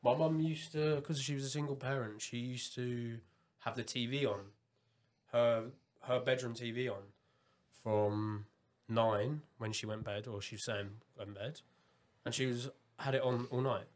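A man from Kent, England, chatting casually as a podcast guest, animated and full of fillers. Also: medium pitch. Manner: animated, quick bursts of excitement, many fillers.

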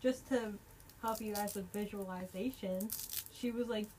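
A woman co-hosting a casual podcast, teasing her friend and tossing out quick jokey comebacks. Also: mid-range voice, steady tone, a little mellow, slight background noise, poor audio. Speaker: podcast co-host. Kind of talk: casual chat.

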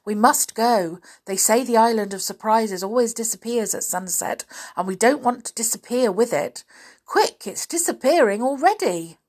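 A woman voicing a lively little male pixie in a children's story, brisk and urgent. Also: fairly high pitch, voice pushed up.

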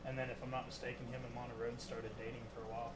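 A young man co-hosting a podcast, trading crude, excitable banter and laughing often. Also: mid-range voice, very fast. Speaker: podcast co-host. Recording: noisy podcast recording.

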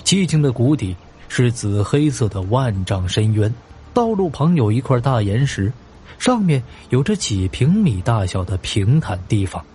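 Male mystery narrator, mid pitch, dramatic and very varied intonation, soft music bed. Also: very animated, unhurried.

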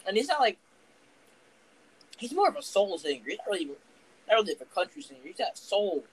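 A man's voice: high-pitched, fairly quick, slightly rough, poor audio. Young man, profane rambling podcast talk. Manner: profane, rambling, animated storytelling. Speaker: podcast co-host. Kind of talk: casual podcast chat.

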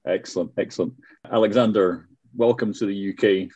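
A man's voice: fairly low pitch, steady tone, brisk, a little mellow, breathy edge, no echo, muffled recording. A man hosting a podcast interview, chatty, with frequent restarts. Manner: chatty, stumbling restarts, laughs often. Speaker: podcast host.

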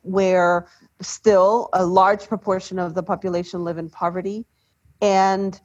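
A low, husky-voiced woman from Ecuador speaks in measured, deliberate phrases during a panel discussion, often trailing off softly. The recording sounds dull and lo-fi. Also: little pitch movement. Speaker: panel speaker, development expert.

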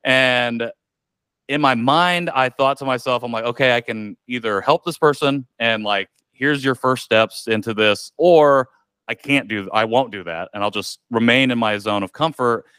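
A man's voice: mid-range voice, moderate pace, pushed and bright, clean tone, no room sound. A man, a podcast guest telling a candid personal story in a reflective way, with many likes and ums. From United States.

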